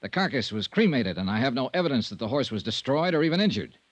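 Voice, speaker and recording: An actor playing a dogged, wry insurance investigator in old radio noir, direct and skeptical. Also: male voice, mid-range voice, very animated, quick pace, no room sound, natural voice. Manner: hard-boiled, direct, skeptical. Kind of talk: 1950s radio noir acting and narration.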